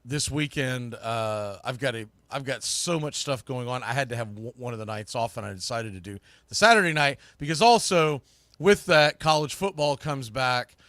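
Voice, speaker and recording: Guy hosting a sports stream, mid pitch, rambly and halting, super dry audio. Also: a bit bright, dry recording. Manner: informal, loose, halting with self-corrections. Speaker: host of a sports podcast and play-by-play streamer. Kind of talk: casual rambling show talk to viewers.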